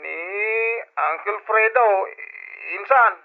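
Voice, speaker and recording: An actor with an Ilocano accent playing a sombre, gentle male cousin in a radio drama. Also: sits high, expressive, slow pace, vocal fry, exaggerated voice. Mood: apologetic, sombre. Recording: dull lo-fi radio recording, music behind.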